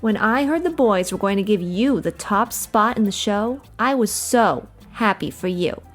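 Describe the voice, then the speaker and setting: A woman's voice: mid-range voice, animated, unhurried, soft background music, close to an everyday voice. A woman playing a sweet, naive, slightly dim nightclub showgirl in a 1940s-style noir radio comedy. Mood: puzzled.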